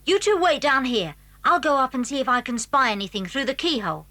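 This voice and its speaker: A woman's voice: sits high, lively intonation, brisk, pushed and bright, no echo. A British woman playing an eager, cautious schoolboy in a children's audio drama. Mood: conspiratorial, eager. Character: eager, cautious schoolboy who leads his friends.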